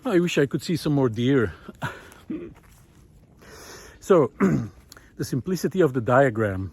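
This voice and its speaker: A man with a mid-pitched voice shares his reflections aloud as he walks outdoors. His delivery is unscripted and thoughtful. He hesitates often, pausing to find the right words before carrying on. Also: sing-song, gravelly, a little mellow, husky. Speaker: host of a walking audio diary, former teacher. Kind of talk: unscripted reflective spoken monologue recorded on a walk. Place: outdoors, walking.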